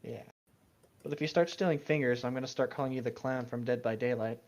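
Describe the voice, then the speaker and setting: A man's voice: mid-range voice, steady tone, brisk, darkish tone, muffled recording. A man chatting casually as a co-host, teasing in an offhand way with dry humour. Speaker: co-host in a gaming chat. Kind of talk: casual chat.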